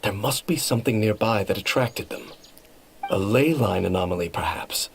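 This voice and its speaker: Aloof, gravelly low-voiced investigator. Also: male voice, a little hiss or hum. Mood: serious, pondering. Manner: formal, measured, dry. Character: aloof, serious adult male investigator, cool and condescending. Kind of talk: video game voice acting.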